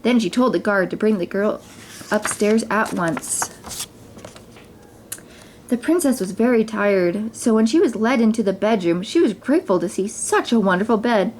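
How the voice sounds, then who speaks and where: A woman reading a children's story aloud as narrator, in an expressive, dramatized way. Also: medium pitch, moderate pace, slight background noise, low-quality recording. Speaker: mother reading stories for children.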